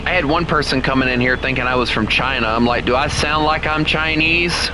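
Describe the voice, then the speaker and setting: A man's voice: mid-range voice, a little fast, noisy background. A young man streaming a game, chatting and teasing viewers in a joking way that flares into exasperation. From Southern United States. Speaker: gaming streamer. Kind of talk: casual live-stream chat with viewers.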